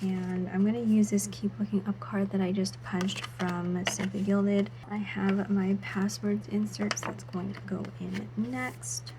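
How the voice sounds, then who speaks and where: A woman talks through her planner setup in a soft, half-whispered voice, her throat still a little sore. Her pitch sits in an ordinary middle range. A steady hiss of background noise runs under the recording. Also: measured pace, hardly any pauses. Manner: soft, half-whispered narration of each step. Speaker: planner vlogger. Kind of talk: casual voice-over while setting up a planner.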